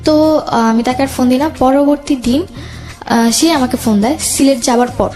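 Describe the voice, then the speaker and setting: Young woman, high voice, hesitant personal storytelling, interview guest, background music. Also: moderate pace, a little mellow. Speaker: guest telling own life story.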